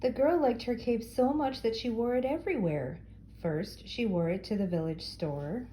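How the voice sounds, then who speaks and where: A woman's voice: fairly high pitch, moderate pace, dark tone, slight background noise, poor audio. An older woman reading a children's story aloud as a storyteller for young listeners.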